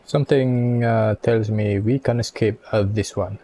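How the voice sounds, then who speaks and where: A man giving casual, halting playthrough commentary as a game streamer, with joking asides. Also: lowish voice, fairly even intonation, dark tone, a little hiss or hum. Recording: music behind.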